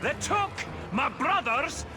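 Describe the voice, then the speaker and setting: A man playing a gruff, hot-tempered dwarf hunter in a video game cutscene, blustering and impatient, with music under his voice. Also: very high voice, big pitch swings, takes it slowly, exaggerated voice. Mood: agitated, impatient.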